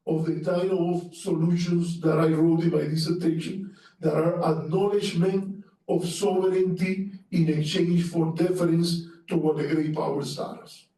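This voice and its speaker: A man with a Cuban Spanish accent speaks earnestly to a seminar audience, often stumbling and restarting his sentences. His voice is slightly high and mellow. He sounds distant from the mic, in a very echoey room. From Cuba. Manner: earnest, halting with restarts and fillers. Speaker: panelist. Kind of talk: academic seminar talk.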